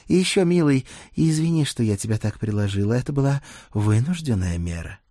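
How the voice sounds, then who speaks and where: A man's voice: medium pitch, expressive, brisk, warm tone, dry recording, clean studio sound, natural voice. A man voicing a confident half-vampire woman in an audiobook, tender yet irritated, teasing and authoritative. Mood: soft, coaxing. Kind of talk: audiobook character acting.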